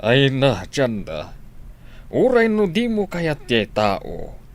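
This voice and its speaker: An exasperated, easygoing village dad acted by a man argues with a Filipino accent. His mid-pitched voice leaps up and down dramatically as he reasons, in broad radio comedy style. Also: huge pitch swings, takes it slowly.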